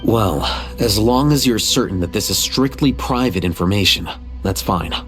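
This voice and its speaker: An actor playing a composed, pragmatic scholar in a video game, speaking in a measured, matter-of-fact way. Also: male voice, on the low side, animated, a bit bright, soft background music.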